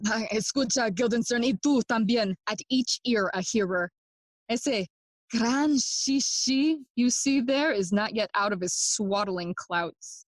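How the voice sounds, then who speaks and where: A brooding, slow-spoken young prince. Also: female voice, on the high side, no room sound. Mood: mocking, playful. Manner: theatrical, deliberate verse delivery. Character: Prince Hamlet, brooding, mocking young nobleman feigning madness. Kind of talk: Shakespearean audio play acting, bilingual English and Spanish.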